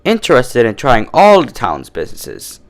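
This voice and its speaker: Prim cartoon gentleman pony a man acting, formal and fussy, phrases starting high and dropping away. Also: wildly swooping pitch, moderate pace. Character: polite, fussy gentleman stallion pony, precise customer. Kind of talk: cartoon fan-fiction character dub.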